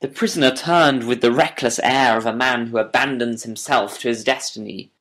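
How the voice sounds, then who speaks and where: A man narrating a scripted audiobook as a storyteller, deliberate and literary in delivery. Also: mid-range voice, fairly bright tone.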